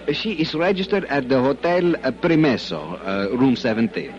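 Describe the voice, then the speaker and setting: Man playing effusive, courtly Latin police captain, very animated. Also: medium pitch, lightly acted. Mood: helpful, hesitant. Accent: Latin American Spanish. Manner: halting, ornate foreign English with frequent hesitations. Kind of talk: 1950s radio drama acting. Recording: muffled old radio broadcast.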